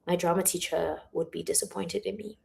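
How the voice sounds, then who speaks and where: A young woman chatting casually on a livestream, rambling and giggly, her voice a little hoarse and lower than usual. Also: fairly even intonation, brisk, darkish tone. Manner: chatty, rambling, giggly, uses filler words a lot. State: voice going hoarse. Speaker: author hosting a livestream. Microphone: phone or webcam in a livestream.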